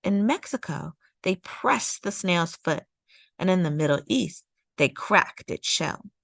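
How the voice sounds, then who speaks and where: Female storytime narrator for children's nonfiction. Lower-leaning pitch, measured delivery, very little room sound. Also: animated, creaky, a bit bright, no echo, clean studio sound. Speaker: storytime read-aloud host. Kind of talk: scripted read-aloud narration of a children's nonfiction picture book.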